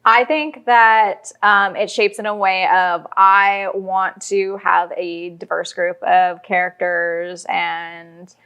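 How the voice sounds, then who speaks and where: A woman talks as a guest in a podcast interview. Her voice sits at a middle pitch for a woman. She explains things in a conversational, illustrative way. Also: level tone, slow, few pauses, sharp tone, clean tone, highs cut off. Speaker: interview guest, audio drama writer.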